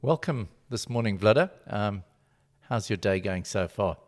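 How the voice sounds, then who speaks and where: Deep, lively male podcast host. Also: a little slow, soft background music. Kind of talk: podcast interview, scripted intro.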